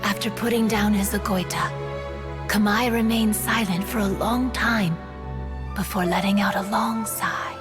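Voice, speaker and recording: A woman voicing a fading, world-weary yokai spirit in a video game, telling stories in a solemn, reflective manner with breathy phrase endings. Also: medium pitch, fairly even intonation, unhurried, over music, natural voice. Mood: wistful, solemn.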